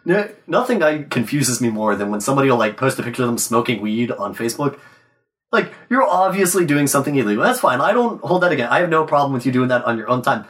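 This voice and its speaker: A young man co-hosting a podcast, his voice stuffed up and nasal from a cold. He talks in a laid-back, offhand way with a lively, swinging pitch, sitting a little back from the mic. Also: recorded from a distance. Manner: laid-back, swears casually, quick asides. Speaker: podcast co-host. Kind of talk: casual chat.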